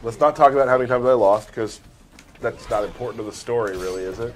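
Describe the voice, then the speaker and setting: A man's voice: medium pitch, quick pace. A man giving casual gameplay commentary as a livestream host, with joking, teasing banter.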